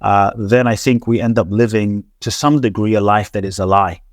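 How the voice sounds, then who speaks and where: Earnest man with a low dry voice. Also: fairly even intonation, moderate pace, no room sound. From grew up in London. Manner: earnest, repetitive, frequent restarts and stammered repeats. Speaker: transformational teacher, podcast guest. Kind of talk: reflective interview talk.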